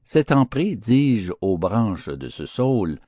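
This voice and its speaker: A man narrating storybook fables in a theatrical, declamatory and expressive style. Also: on the low side, slow, no echo, studio quality. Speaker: audiobook narrator.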